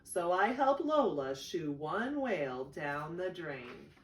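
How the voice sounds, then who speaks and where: Woman, children's storyteller, expressive read-aloud, very lively intonation, unhurried, echoey distant recording. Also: deep voice. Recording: echoey room, distant microphone.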